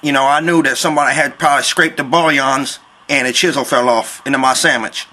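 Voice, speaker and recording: A man's voice: medium pitch, steady tone, fairly quick, sharp tone, natural voice. A man voicing a folksy, persistent Southern construction worker making a rambling, mock-polite complaint on a prank phone call. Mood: aggrieved, insistent. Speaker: prank caller.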